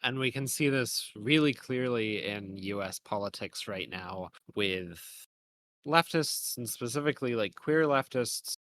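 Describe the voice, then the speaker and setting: A man's voice: medium pitch, lively intonation, unhurried, fairly bright tone, a little hiss or hum. A man co-hosting a podcast, talking through ideas casually and analytically in long, winding, hedged sentences. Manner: hedging, thinking aloud in long winding sentences. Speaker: podcast co-host.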